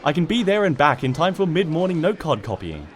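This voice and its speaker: A man's voice: a little high, very animated, fairly quick, pitched above the performer's own voice. A man voicing a flustered, indignant young female cartoon pony who is easily exasperated. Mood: defiant. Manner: stammering and muttering, snapping back at a narrator.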